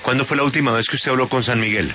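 A male radio interviewer with a bright, forward voice. He keeps his questions short and direct, with brief prompts in between. Faint noise runs underneath. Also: medium pitch, very fast.

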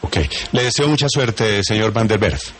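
A man hosting a morning news radio show, interviewing in a brisk, formal manner. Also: lowish voice, a bit bright, slight background noise. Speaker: radio host.

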